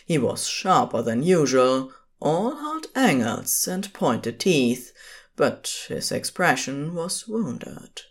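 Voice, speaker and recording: Slow, expressive woman narrator. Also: low-pitched, husky. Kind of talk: scripted fiction narration.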